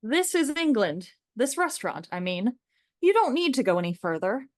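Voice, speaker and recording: An opinionated young man in a comic radio play, sardonic and fond of lecturing. The voice is fairly high and swoops emphatically, recorded close and dry. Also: big pitch swings, normal speed, dry recording. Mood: scornful lecturing.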